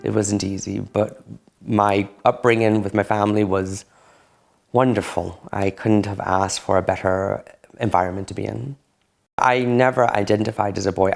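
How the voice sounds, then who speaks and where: A man speaks in a slightly low register. He talks candidly about his own experience in an unhurried, reflective way, like in an interview testimony. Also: gravelly, a little mellow. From Barbados. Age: late 30s.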